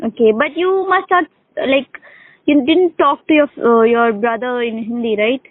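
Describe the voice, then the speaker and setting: Woman, Indian accent, Urdu native, high voice, casual tutoring chat, dry sound with no room echo. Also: measured pace. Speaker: English conversation tutor. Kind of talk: casual one-to-one lesson chat. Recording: dry, narrow phone-line sound.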